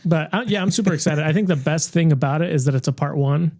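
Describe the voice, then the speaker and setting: A man with a mellow voice pitched a little high banters as a podcast co-host. His delivery is casual, with a teasing way about it. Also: fast talker.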